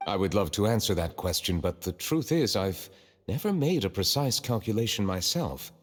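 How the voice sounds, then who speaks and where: Game role: dignified chief justice, low-pitched, formal, reserved and courteous, in long reverb. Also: male voice, sing-song. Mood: composed. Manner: formal, measured, polite. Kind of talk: video game voice acting.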